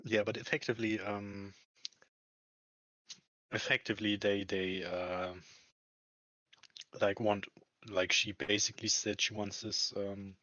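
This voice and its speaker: Male, lowish pitch, hesitant conversational delivery, dull lo-fi room recording. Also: level tone, pushed and bright. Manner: hesitant, halting, searching for words. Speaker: employee talking about a work contract. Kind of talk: casual conversation. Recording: muffled lo-fi, echoey room.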